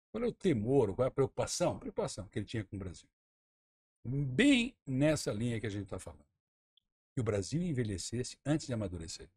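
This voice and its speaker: A man from southern Brazil makes his case as a radio guest, pressing his points with emphasis. His voice is slightly husky, and his pitch rises and falls freely. He sometimes repeats words as he gathers his thoughts. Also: darkish tone. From Santa Catarina, Brazil. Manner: emphatic, argumentative, repeats words while thinking. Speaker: interview guest, former tourism minister. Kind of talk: radio talk-show interview.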